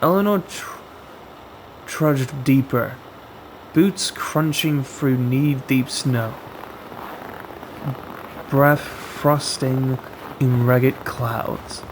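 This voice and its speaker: Very slow-speaking man narrating horror. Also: medium pitch, a little hiss or hum, rough recording. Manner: deliberate, dramatic phrasing with frequent pauses. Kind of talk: scripted horror story narration.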